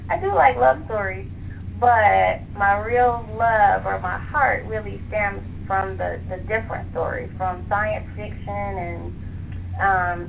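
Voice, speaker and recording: A woman's voice: medium pitch, gravelly. A woman speaking as a guest author in a casual radio interview, chatty and self-deprecating. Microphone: telephone. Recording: tinny phone line, faint noise.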